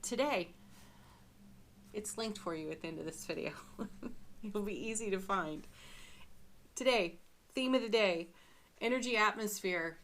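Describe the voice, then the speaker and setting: A woman giving a chatty daily tarot reading, musing aloud with frequent soft laughs and a slightly scratchy voice. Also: medium pitch. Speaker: tarot reader.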